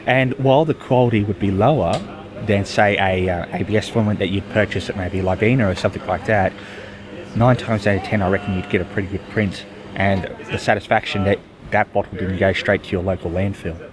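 A man's voice: lowish voice, fairly even intonation, fairly quick, a crowd in the background. A man, a futurist guest giving earnest, musing interview answers with many fillers. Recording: noisy background.

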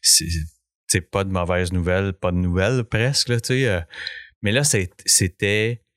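A man's voice: low-pitched, fairly even intonation, normal speed, fairly bright tone, no room sound, studio quality. A man with a Québécois French accent, hosting a casual chat and often stammering as he searches for his words. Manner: hesitant, stammering restarts. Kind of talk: casual conversation.

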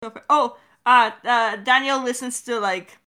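A woman's voice: on the high side, measured pace, sharp tone. A woman co-hosting a creator Q&A, chatting casually in a giggly way and swearing freely. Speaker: podcast creator and co-host.

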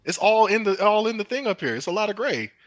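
A man's voice: high-pitched, big pitch swings, fast, fairly bright tone, no room sound. A man in his forties, a podcast guest at home, chatting casually and teasing the host. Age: 40s. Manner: teasing, needling banter.

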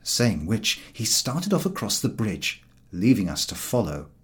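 Very animated male audiobook narrator. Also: lowish voice, measured pace. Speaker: audiobook reader. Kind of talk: expressive scripted narration of a comic story.

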